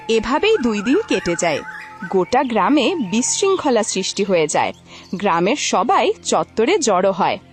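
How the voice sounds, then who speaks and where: Female story narrator, animated intonation, scripted fairy tale with clear music bed. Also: on the high side.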